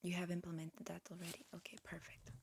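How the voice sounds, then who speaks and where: A woman whispering breathily in a gentle, halting way, close to the mic, playing a politely fussy examiner in an ASMR roleplay. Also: lowish voice, completely flat delivery, background noise. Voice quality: breathy, mostly whispered with soft murmurs. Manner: gentle, unhurried, halting instructions. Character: disguised alien medical examiner, politely fussy and encouraging.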